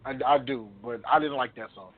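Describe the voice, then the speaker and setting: A man's voice: mid-range voice, fairly quick. A man co-hosting a radio show in casual, opinionated banter, blunt and slangy, repeating phrases for emphasis. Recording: tinny, phone-like internet radio audio.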